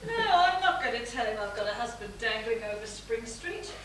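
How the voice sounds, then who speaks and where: A woman's voice: fairly high pitch, big pitch swings, normal speed, a bit bright. An actress playing a frantic middle-aged mother of the bride, fretful and melodramatic in a stage comedy. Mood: nervous fretting. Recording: echoey stage recording from far off with noise.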